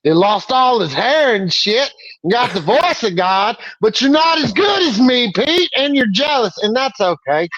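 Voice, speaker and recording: A brash, boastful man co-hosting a podcast, swearing freely as he riffs. His voice sits high, and the recording is dry and a little dull. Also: sing-song, unhurried, a bit bright, no echo. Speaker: co-host. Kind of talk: casual podcast banter.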